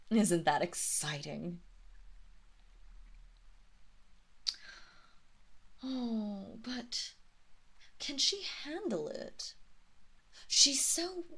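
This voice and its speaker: A woman voicing a cruel, seductive vampire mistress in an intimate audio roleplay, teasing and menacing the listener in a breathy, taunting way that drifts into whispers. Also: mid-range voice, full character voice. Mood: gloating, mock-sweet.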